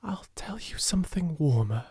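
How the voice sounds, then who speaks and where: Storybook child heroine, read by a man: British, imaginative, earnest, gently raised pitch, breathy softness, very dry sound. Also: big pitch swings, moderate pace, no room sound, full character voice. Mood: comforting, dreamy. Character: brave, imaginative, kindly little girl telling fancies.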